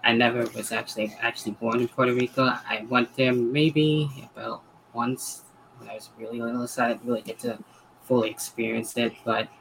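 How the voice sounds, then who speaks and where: A woman's voice: extremely low pitch, steady tone, brisk, creaky, slight background noise, low-quality recording. A woman from New York chatting modestly and a little hesitantly on a podcast panel. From New York, United States. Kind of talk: casual panel chat.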